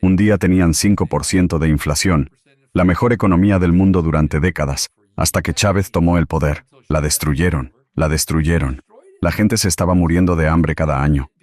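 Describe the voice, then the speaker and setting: Male guest commentator, very low voice, dry close sound, emphatic, repeats himself. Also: normal speed, a little mellow, no room sound. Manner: emphatic, repeats key phrases.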